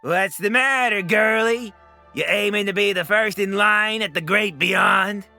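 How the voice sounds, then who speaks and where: An actor playing a menacing space pirate villain who threatens in folksy gunslinger talk for a motion comic. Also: male voice, high-pitched, measured pace, vocal fry, pushed and bright, faint music behind, heavily acted. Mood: taunting.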